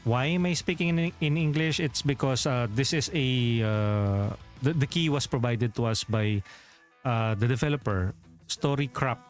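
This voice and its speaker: Filipino-accented male streamer, mid pitch, loose rambling chat, faint music under. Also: moderate pace. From Philippines. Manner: rambling, self-correcting, chuckles often. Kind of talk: casual livestream chat.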